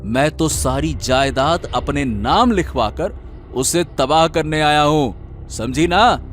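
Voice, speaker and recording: A man's voice: a little high, slow pace, soft background music. A man playing a cruel, greedy elder brother who schemes with a sneering, theatrical delivery in a cartoon story. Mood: scornful gloating. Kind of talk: Hindi cartoon moral story acting.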